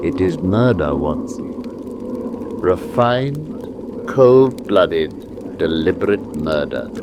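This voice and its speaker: An actor playing a brisk, analytical detective with an English accent, speaking with assured, theatrical precision in a radio drama. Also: male voice, low-pitched, big pitch swings, background noise, full character voice. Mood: grave. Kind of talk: British radio drama acting.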